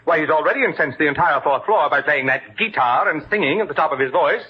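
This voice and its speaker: Pompous, husky hospital doctor. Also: male voice, fairly high pitch, expressive, fast talker. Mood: indignant. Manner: pompous, prim. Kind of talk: old-time radio acting. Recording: muffled old radio recording.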